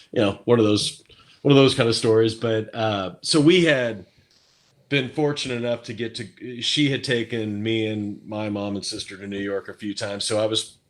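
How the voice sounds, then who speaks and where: A man from Missouri with a Midwestern accent, talking as a guest in a casual interview, rambling and hesitant with many fillers and restarts. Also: lowish voice. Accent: Midwestern American. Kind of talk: casual video chat interview.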